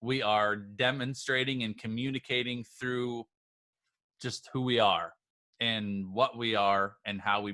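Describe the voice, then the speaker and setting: A man's voice: medium pitch, bright, forceful tone, no echo. A man speaking as a business coach and livestream host, talking informally and directly to his followers in an emphatic, motivational way, often repeating phrases for emphasis. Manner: informal, addresses listeners directly.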